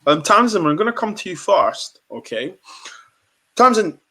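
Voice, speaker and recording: A man's voice: high-pitched, very animated, normal speed, pushed and bright. A man hosting a podcast, interviewing guests in a direct way with lots of fillers and repeated words. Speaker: podcast host.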